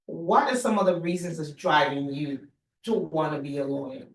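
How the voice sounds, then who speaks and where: A woman's voice: deep voice, moderate pace. Reflective middle-aged woman host, echoey. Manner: reflective, encouraging questioner. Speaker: host, mother. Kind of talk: interview-style conversation. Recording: echoey room.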